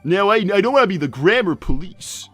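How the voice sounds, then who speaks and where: A man plays a short, crude cartoon hedgehog dad in improvised livestream banter. The voice is a little high and very lively in pitch, with halting, repetitive, matter-of-fact oversharing. Faint music plays behind it. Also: no room sound, exaggerated voice. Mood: rambling, self-deprecating.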